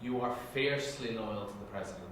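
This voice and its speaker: A man's voice: lowish voice, brisk. A man giving casual spoken instructions as an audition director. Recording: distant, very echoey room with background noise.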